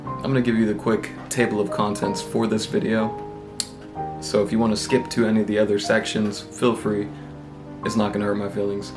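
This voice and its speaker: A young man with a cold, congested, chatting casually and rambling in a relaxed, self-deprecating way as a book vlogger. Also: fairly low pitch, fairly quick, music in the background. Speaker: booktube vlogger. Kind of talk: casual vlog chat.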